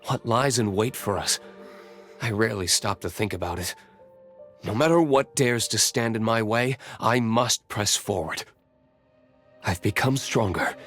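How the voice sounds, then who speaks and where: An actor playing an aloof, brooding young nobleman warrior in a video game, reserved and formal, speaking in measured, curt lines. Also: medium pitch, natural voice. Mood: solemn, sincere.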